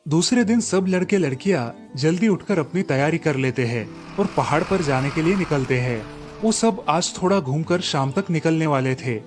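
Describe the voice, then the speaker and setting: A man reading scripted storybook narration for a cartoon tale. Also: a little high.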